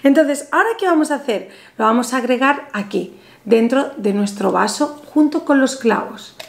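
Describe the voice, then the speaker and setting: A woman in her thirties hosting a spiritual video, calmly guiding the viewer through a money ritual, seated at a table in a small bright room. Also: sits high, normal speed, vocal fry. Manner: soothing, instructive, addresses the viewer directly. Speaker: spiritual channel host. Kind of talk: guided money ritual tutorial. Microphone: camera in front, seated at a table.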